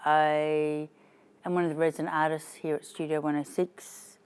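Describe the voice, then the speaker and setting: A woman's voice: deep voice, little pitch movement, moderate pace, a little mellow, clear, resonant tone. A woman from Israel, a resident artist, chatting hesitantly with many fillers in a documentary interview.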